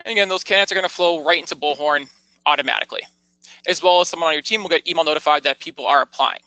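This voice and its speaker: A man narrating a product tour as a software demonstrator, explaining things step by step in a conversational, informal way. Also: on the high side, very fast, no room sound, low-quality recording.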